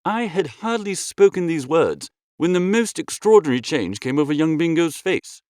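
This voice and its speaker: A man narrating a comic first-person story in an expressive, breezy and theatrical way. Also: fairly high pitch, moderate pace, no echo, studio quality. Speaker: audiobook narrator.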